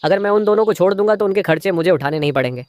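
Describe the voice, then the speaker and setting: A man's voice: sits high, animated, very fast, darkish tone, dry recording. A man voicing a stingy, two-faced crow husband in a moral-story cartoon, a schemer who feigns sweetness to his wife. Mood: smitten, calculating.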